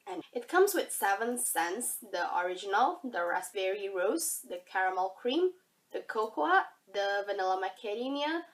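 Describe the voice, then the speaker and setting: Young woman, husky from a cold. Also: medium pitch, very animated, moderate pace. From Indonesia. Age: young adult. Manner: chatty, rambling, upbeat. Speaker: beauty vlogger. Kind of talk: casual product review vlog. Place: bedroom, indoors. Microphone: camera facing her at arm's length.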